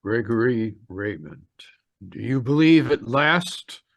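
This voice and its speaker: A stern guide of the afterlife, acted by a man, speaks in a middle register with formal, measured calm. The delivery is old radio drama, solemn and unhurried, in a very dry recording. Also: medium pitch, no echo. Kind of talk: old-time radio mystery acting.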